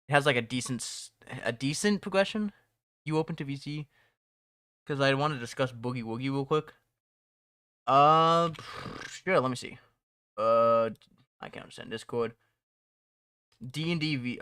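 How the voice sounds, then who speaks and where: Bright-voiced young man streaming casually. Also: mid-range voice, no echo, clean studio sound. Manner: rambling, laughs often, restarts phrases. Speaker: streamer and mod developer. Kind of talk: casual live-stream chat.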